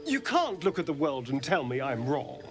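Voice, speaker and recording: An actor playing a sardonic, vain vampire in a video game, theatrical, arch and drawling. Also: male voice, a little high, big pitch swings, moderate pace, over music. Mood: seductive, zealous. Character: sardonic, vain male vampire, newly free and power-hungry.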